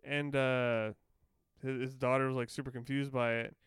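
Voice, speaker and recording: A Canadian man co-hosting a podcast, talking in a rambling, profane, animated way. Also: medium pitch, moderate pace, smooth, no room sound, studio quality. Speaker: podcast co-host. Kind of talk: casual chat.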